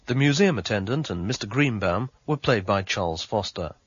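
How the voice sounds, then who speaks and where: Measured male announcer reading credits. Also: fairly low pitch, animated, breathy edge, no echo. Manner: measured, formal delivery. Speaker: closing announcer. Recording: dull lo-fi broadcast with faint music.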